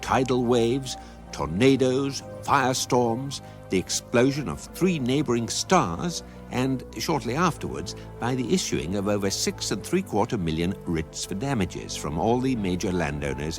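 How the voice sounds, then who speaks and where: An actor playing a dry, urbane guidebook narrator with an English accent, telling comic science fiction in a measured deadpan. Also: male voice, on the low side.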